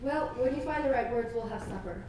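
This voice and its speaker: Woman doing a stern brisk old spinster, clipped commands, echoey, far from the mic. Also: a little high, heavy background noise, natural voice. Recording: echoey, distant, music behind.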